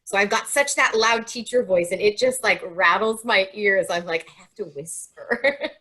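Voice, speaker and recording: A woman who teaches toddlers, answering interview questions in a lively, hesitant way with fillers. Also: medium pitch, normal speed.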